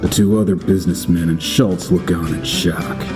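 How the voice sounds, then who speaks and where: Male actor as grizzled cowboy bounty hunter, low voice, laconic, wry, audio drama narration, music underneath. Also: steady tone, normal speed, natural voice. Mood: grim, tense. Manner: laconic, wry, tough-talking.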